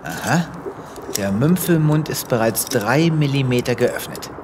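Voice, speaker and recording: An actor playing an eccentric, earnest space veterinarian in a comedic sci-fi audio drama, with a dramatic, quirky delivery. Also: male voice, medium pitch, animated, measured pace, very noisy, close to an everyday voice. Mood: urgent. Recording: loud ambience and sound effects behind.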